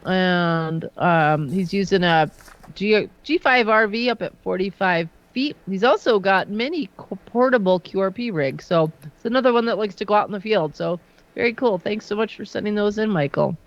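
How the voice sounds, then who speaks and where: A hoarse woman co-host who is fighting a cold, reading listener details in a casual chat. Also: medium pitch, moderate pace, lo-fi sound. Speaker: co-host presenting listener photos.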